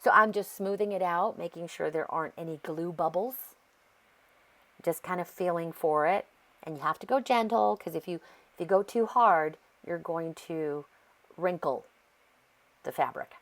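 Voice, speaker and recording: A woman's voice: on the low side, moderate pace. An American woman with a congested, sore-throat voice, chatting casually and rambling as she narrates a craft process. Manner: chatty, rambling, easily sidetracked. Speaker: craft video host.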